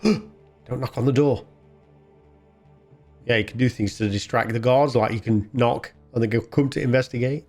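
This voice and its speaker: A man's voice: mid-range voice, moderate pace, long pauses, a little mellow. Chatty man commentating over game music. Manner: chatty, thinking aloud. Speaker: gaming video host. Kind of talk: casual game play commentary.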